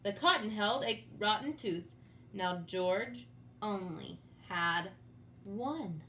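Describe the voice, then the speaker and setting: A woman's voice: mid-range voice, animated, takes it slowly, fairly bright tone, slight background noise. A woman reading a storybook aloud for children in a dramatic, teacherly manner, with asides to young listeners. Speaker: storybook reader. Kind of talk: expressive read-aloud for children.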